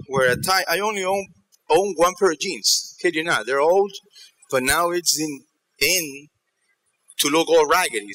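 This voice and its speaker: A middle-aged man giving a motivational keynote on stage, telling stories in an animated way and checking in with his listeners. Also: a little high, low-quality recording. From New York and Ecuador. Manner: animated storytelling, checking in with the audience. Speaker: keynote speaker. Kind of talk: motivational conference talk. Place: theater stage in a large auditorium.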